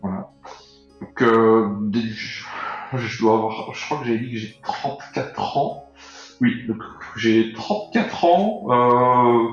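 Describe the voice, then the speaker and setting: A man in his thirties playing a tabletop game, slowly and hesitantly describing his character. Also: mid-range voice. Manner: slow and hesitant, many fillers. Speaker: player. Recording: very echoey, dull lo-fi sound with faint music.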